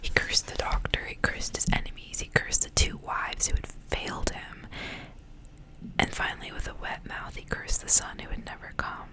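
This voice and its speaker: A woman speaking in a breathy, unvoiced whisper, in the intimate style of an ASMR reading. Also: normal speed. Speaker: ASMR creator reading stories aloud. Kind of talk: whispered ASMR storybook reading with casual asides.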